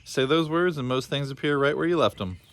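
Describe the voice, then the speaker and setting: A man's voice: on the high side, lively intonation, a little fast, voice pushed up. A man voicing a cheerful, forgetful old man with a folksy, absent-minded manner in a storybook. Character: cheerful, forgetful old man running a lost-things land. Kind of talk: storybook character voice.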